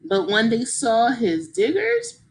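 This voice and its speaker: A woman reading a children's story aloud in an expressive, encouraging teacher's manner, pausing to explain and ask her young listeners questions. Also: on the high side, vocal fry, husky.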